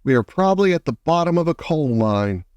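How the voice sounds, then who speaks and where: A man's voice: fairly high pitch, sing-song, fairly quick, darkish tone, smooth, no room sound, dull audio. Man as a morose, grumbling storybook earthworm. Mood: gloomy. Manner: morose, complaining. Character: gloomy, grumbling, self-pitying male earthworm.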